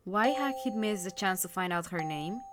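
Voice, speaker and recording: A woman reading a visual novel aloud as scripted dramatic narration, measured and somber, with music behind her. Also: mid-range voice, expressive, noisy background.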